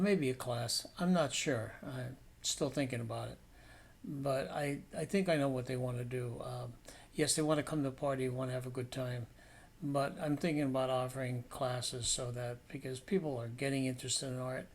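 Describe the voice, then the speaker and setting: Casual man narrating over noticeable background noise. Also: mid-range voice. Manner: rambling, thinking aloud. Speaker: painting instructor. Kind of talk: casual painting demonstration voice-over. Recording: clear steady background noise.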